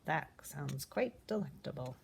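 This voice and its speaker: A woman's voice: low voice, animated, measured pace, gravelly, noisy background, heavily acted. Woman as a genteel, hungry hot dog man. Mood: appreciative. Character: courteous, hungry male hot dog vendor.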